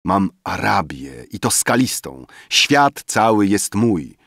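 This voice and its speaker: A man's voice: deep voice, big pitch swings, slow pace, vocal fry, a bit bright, dry recording, studio quality, heavily acted. A man voicing a drunken, boastful young man who has suddenly come into riches, raving grandly.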